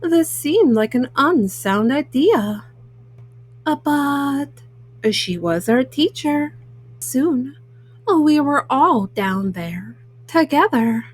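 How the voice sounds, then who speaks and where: A woman reads a children's picture book aloud, haltingly, often stopping on small hesitant fillers. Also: high-pitched, lively intonation. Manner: halting, word-by-word reading with frequent hesitant fillers. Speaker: storyteller, host of a children's read-aloud show.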